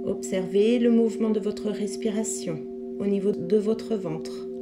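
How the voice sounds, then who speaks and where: Woman, mid-pitched voice, calm yoga instructor, measured guided meditation, clear music with singing bowl tones behind.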